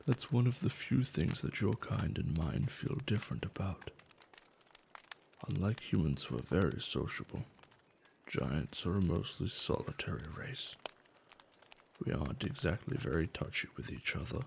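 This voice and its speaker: A man acting a gentle, caring giant in a soft-spoken, soothing and affectionate ASMR roleplay, with slightly formal phrasing. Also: lively intonation, moderate pace, slight background noise, exaggerated voice. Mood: shy, affectionate. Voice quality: low, soft, gravelly. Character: gentle male giant, tender, curious and caring toward humans.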